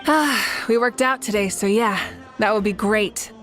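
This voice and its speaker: A woman's voice: mid-range voice, measured pace, natural voice. An actress playing a confident, playful young woman leader in an anime-style video game, easygoing and teasing. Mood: relaxed, friendly. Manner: easygoing, teasing, self-assured. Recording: faint background music under the voice.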